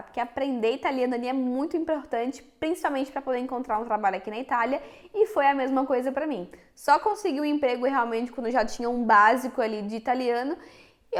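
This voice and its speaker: A young Brazilian woman with a Minas Gerais accent and a high, bright-sounding voice. She talks frankly to her viewers in a relaxed vlog, addressing them directly the whole time. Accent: Minas Gerais Portuguese. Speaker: vlogger. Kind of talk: casual chat to camera.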